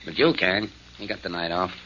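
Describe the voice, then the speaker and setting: An actor playing a menacing male gambling boss in a 1940s radio drama, talking in a gruff, threatening way with clipped slang. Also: sits low, a little fast, bright, forceful tone, slight background noise. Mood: bossy. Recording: muffled old radio broadcast.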